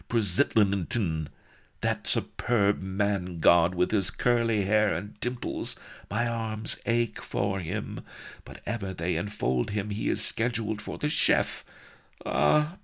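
A man's voice: on the low side, lively intonation, takes it slowly, vocal fry, pitched above the performer's own voice, exaggerated voice. A man voicing a melodramatic, addled young princess who wails and swoops into shrieks. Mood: anguished. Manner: wailing, overwrought, swooping into shrieks.

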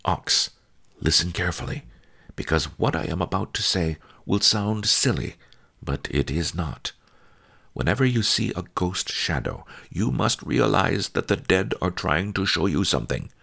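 A gentle old master of a storybook speaks slowly and coaxingly, dropping at times to a near whisper, as a man puts him on. His voice is lifted well above the reader's own deep register, with a raspy, gravelly edge. The rise and fall stays lively. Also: full character voice. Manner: calm, coaxing, soft-spoken. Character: wise old male sage, gentle teacher.

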